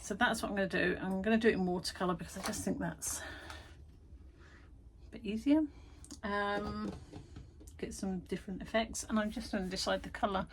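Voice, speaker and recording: A woman's voice: mid-range voice, steady tone, a little fast, slight background noise. Tired, chatty woman. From United Kingdom. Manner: chatty, self-deprecating, rambling with fillers. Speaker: vlogger and art workshop tutor. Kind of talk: casual chatty vlog. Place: her garden shed art studio.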